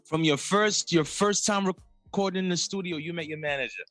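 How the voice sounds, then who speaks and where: A man's voice: moderate pace, a bit bright. A man hosting a music podcast interview, chatting casually in a laid-back way with plenty of slang. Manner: laid-back slang, swings pitch for quoted reactions. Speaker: podcast host.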